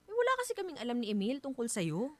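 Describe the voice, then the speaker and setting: A woman's voice: fairly high pitch, wildly swooping pitch, fast talker, dry recording. A woman acting as a curious, teasing married woman with a Filipino accent in a radio drama. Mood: friendly, curious. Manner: teasing, inquisitive, chatty. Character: curious, playful, prying married woman hosting a guest.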